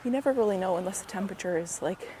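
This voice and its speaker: A woman, hoarse and a bit raspy from a cold, chatting casually as she walks through a park and vlogs on a handheld camera, often dropping into soft asides. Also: very fast, slight background noise. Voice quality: raspy, slightly deep. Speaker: walking vlogger. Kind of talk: casual walking commentary. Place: outdoors in a park while walking. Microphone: handheld gimbal camera mic. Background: faint outdoor ambience.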